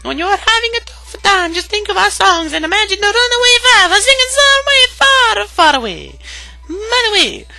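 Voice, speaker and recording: A man's voice: very high-pitched, sing-song, normal speed, creaky, sharp tone, soft background music, exaggerated voice. A man voicing a friendly, very girly character in a video game.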